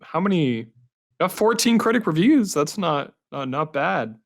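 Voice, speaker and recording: A man's voice: a little high, sing-song, measured pace. A man hosting a podcast, interviewing a guest in a casual, conversational way.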